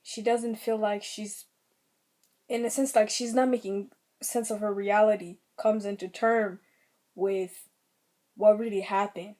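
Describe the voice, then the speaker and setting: A young woman with a slightly raspy throat, giving earnest, rambling commentary on her own vlog channel. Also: medium pitch, fairly even intonation, measured pace, mellow. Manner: earnest, rambling, many fillers. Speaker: vlogger.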